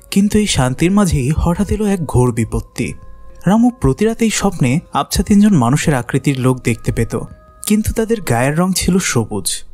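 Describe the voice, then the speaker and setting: A man's voice: fairly high pitch, a little mellow. A man narrating a children's cartoon folk tale as a dramatic scripted storyteller.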